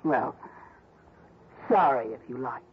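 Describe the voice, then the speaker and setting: An actress playing a bitter, greedy widow in a cynical, defiant manner, in a 1960s radio detective drama. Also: female voice, very deep voice, animated, slow pace, a little hiss or hum, exaggerated voice. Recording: dull vintage radio recording.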